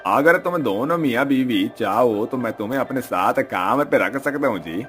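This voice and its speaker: Man as a polite kindly businessman, mid pitch. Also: lively intonation, normal speed, a bit bright, lightly acted.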